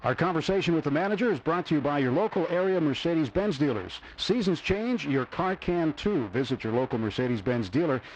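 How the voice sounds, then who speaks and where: A man's voice: fairly high pitch, expressive, brisk, hardly any pauses, a little mellow, muffled recording. Male sports radio interviewer, some background noise. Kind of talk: pre-game radio interview and sponsor reads.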